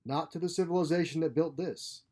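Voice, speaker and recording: A man's voice: fairly high pitch, fairly quick, mellow, voice pushed up, natural voice. A man voicing a genial grandfatherly scientist for a story, courteous, proud and theatrical in manner. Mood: proud, teasing. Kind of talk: storybook character voice.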